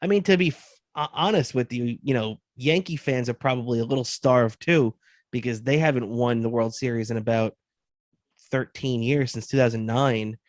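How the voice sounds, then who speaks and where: A man's voice: mid-range voice, normal speed, no room sound, clean studio sound. A man in his thirties co-hosting a sports podcast, talking casually and laying out his opinions. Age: 30s.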